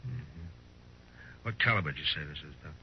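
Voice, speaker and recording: An actor playing a terse, watchful frontier marshal in the understated style of a 1950s radio western. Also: male voice, a bass voice, expressive, fairly quick, a little hiss or hum. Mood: businesslike. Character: laconic male frontier marshal, steady, watchful lawman. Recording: muffled old-time radio recording with music.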